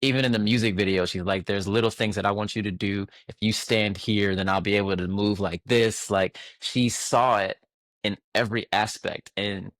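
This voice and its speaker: Very low-voiced man, laid-back guest. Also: normal speed, dry recording. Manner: relaxed, laughs often, many fillers and restarts. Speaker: guest music producer. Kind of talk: casual interview chat.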